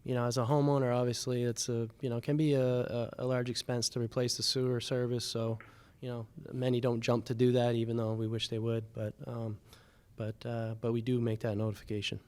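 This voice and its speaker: A man who works for the city explaining repairs at a public board meeting in a measured, matter-of-fact way with many fillers. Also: mid-range voice, little pitch movement, darkish tone, clear, resonant tone.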